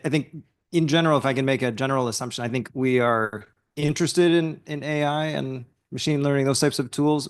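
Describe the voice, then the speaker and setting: A man moderating a panel at an industry forum, asking questions with a light wry edge. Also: medium pitch.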